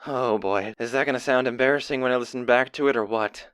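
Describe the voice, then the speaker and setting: A man voicing an excitable young pony recording an audio diary in an audio drama, halting, awkward and earnest. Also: medium pitch, clear, resonant tone, no room sound, clean studio sound, lightly acted. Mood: giddy, embarrassed. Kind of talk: casual diary monologue, acted.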